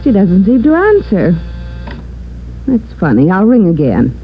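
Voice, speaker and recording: An actress playing a prim, helpful, puzzled American hotel desk clerk with a polite, proper manner in 1950s radio drama. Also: female voice, medium pitch, wildly swooping pitch, measured pace, slight background noise. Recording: muffled old radio recording with music.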